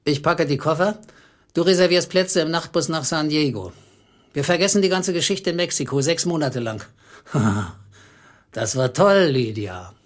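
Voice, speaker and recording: An actor playing a nervous, scheming henpecked husband in a German radio play, talking urgently and bossily. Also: male voice, fairly high pitch, moderate pace, lightly acted. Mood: bossy, excited.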